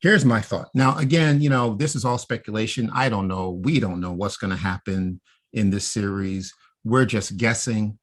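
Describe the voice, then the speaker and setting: A man with a low voice talks casually on a podcast. His tone is a little husky, and he lays out fan theories step by step. He often adds a quick "right?" to bring his co-host along.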